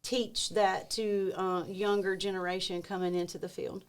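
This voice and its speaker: A woman's voice: mid-range voice, flat delivery, moderate pace, smooth. A woman hosting a company podcast who asks conversational questions and thinks aloud while she frames them. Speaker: podcast host interviewer.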